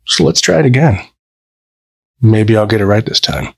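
Guy doing sweet confident date dude in a story podcast, husky breathy voice, playful and a bit naughty. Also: on the low side, lively intonation, moderate pace, full character voice. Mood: warmly amused. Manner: gentle, mischievous.

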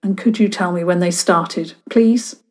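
An earnest young boy detective , voiced by a female narrator, who talks in a firm, businesslike way, keen to pin down solid facts. The voice stays close to the storyteller's own, slow and even. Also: fairly low pitch, close to an everyday voice. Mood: flustered. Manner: businesslike, determined.

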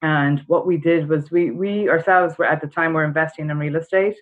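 A woman's voice: low voice, fairly even intonation, fairly quick. A middle-aged woman from Ireland with an Irish accent, telling her story casually as a podcast guest. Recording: dull narrow-band call audio.

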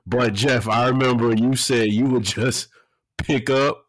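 A man's voice: medium pitch, steady tone, measured pace, dry recording. A man in his late thirties hosting a podcast over a video call, laid-back and slangy, laughing often. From Florida. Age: 30s. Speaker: podcast host. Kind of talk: casual podcast chat.

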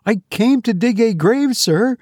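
Man as a high, quavering, frightened gravedigger. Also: expressive, a little slow, a little mellow, no echo, clean studio sound, exaggerated voice. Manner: stammering, deferential, trembling.

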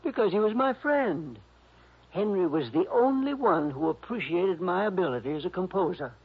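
A gentle, fussy older man in an old radio drama. His voice is fairly high, gravelly and husky, with a plaintive, hesitant delivery. It comes through a muffled vintage recording. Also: animated, moderate pace, exaggerated voice. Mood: indignant, plaintive. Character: fretful, gentle older male jailed composer. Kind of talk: early 1960s radio drama acting.

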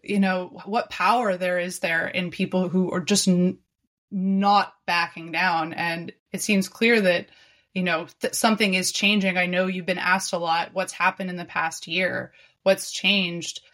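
A woman's voice: on the low side, flat delivery, unhurried, fairly bright tone. A woman hosting a podcast interview, speaking reflectively and searching for her words as she goes. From Canada. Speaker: podcast host. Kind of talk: casual conversational interview.

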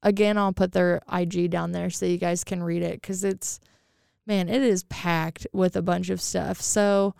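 A woman with a raspy voice, chatting casually as a podcast co-host and rambling with lots of fillers. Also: on the low side, fairly even intonation, unhurried, darkish tone, no echo, studio quality. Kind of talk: casual chat.

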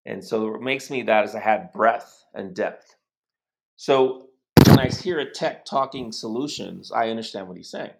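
A man's voice: on the low side, moderate pace, vocal fry, a little hiss or hum, rough recording. A man in his sixties from the United States, hosting a casual interview and telling long rambling stories with frequent restarts. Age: 60s. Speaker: host.

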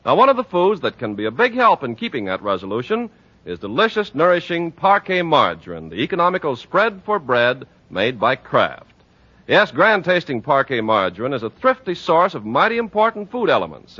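A man's voice: a little high, sharp tone, slightly rough. An American man announcing and advertising in scripted 1940s radio style, with an emphatic, polished sales delivery. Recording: dull 1940s radio recording.

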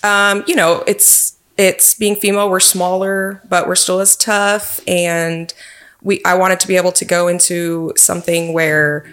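A woman's voice: fairly low pitch, little pitch movement, measured pace, fairly bright tone, smooth. A young woman from Texas with a Texan accent, a police officer answering interview questions in an earnest, friendly way.

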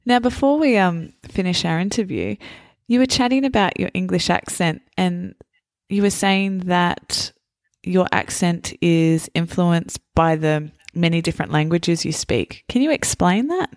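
A woman's voice: lowish voice, a little slow, dry recording, clean studio sound. A woman hosting a podcast, asking her guest questions in a friendly way. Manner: friendly, thanking and wrapping up. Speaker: podcast host. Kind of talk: interviewing a guest.

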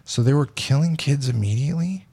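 A man's voice: mid-range voice, lively intonation, brisk, dark tone, breathy edge. A man hosting a casual podcast, chatting bluntly and reading news posts aloud. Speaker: podcast host.

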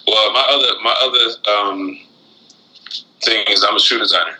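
A man from Florida chatting casually as an interview guest, halting and reflective as he talks. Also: fairly low pitch, sharp tone, rough recording. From Florida, United States, born in Guyana. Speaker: interview guest, rapper and shoe designer.

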